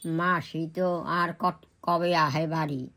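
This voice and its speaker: A woman's voice: deep voice, steady tone, slow, a bit bright. Older woman with East Bengali accent. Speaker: grandmother. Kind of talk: casual family chat.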